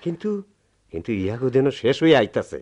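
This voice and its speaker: Animated protective village man, rural Bangladeshi dialect. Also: mid-range voice, quick pace. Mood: consoling. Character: Lalon, protective rustic village friend, steady man. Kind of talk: Bengali radio drama acting.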